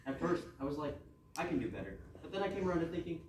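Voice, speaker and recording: Male actor as chatty, sorry-sounding henchman guard, deadpan yet swooping delivery; distant, noisy stage recording. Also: on the high side, racing. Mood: apologetic, rambling. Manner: rambling, deadpan, apologetic. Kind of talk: comic stage readers theatre. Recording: echoey room, far mic, background noise.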